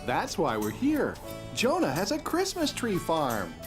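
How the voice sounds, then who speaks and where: A man playing a kind, patient father in a children's cartoon, with a gentle storytelling manner. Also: high voice, huge pitch swings, unhurried, exaggerated voice. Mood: cheerful, patient. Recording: music behind the voice.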